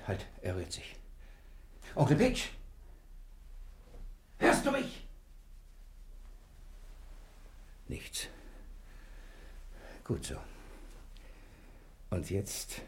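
A man's voice: deep voice, darkish tone, slight background noise. A man acting a cunning, cheeky fugitive thief in a 1960s German radio play, with a coaxing, fussing manner. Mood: muttering, relieved. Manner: fussing, coaxing mutter to himself.

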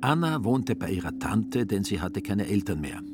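A man with a fairly low voice narrates a radio play. He tells the story in a dry, ironic way, with music clearly playing behind him. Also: big pitch swings, moderate pace.

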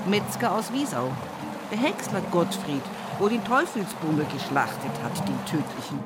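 An actress playing an earthy, stubborn, devout widow from the village in an intimate radio monologue, with a husky, breathy voice and an Upper Palatinate Bavarian accent. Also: female voice, deep voice, very noisy. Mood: earthy, wry. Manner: earthy, folksy storytelling at a bedside. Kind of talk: intimate radio drama monologue in rural vernacular.

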